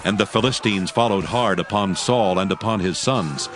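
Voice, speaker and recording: A man narrating scripture as a scripted audio drama, with solemn, measured storytelling. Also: fairly low pitch. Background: music bed.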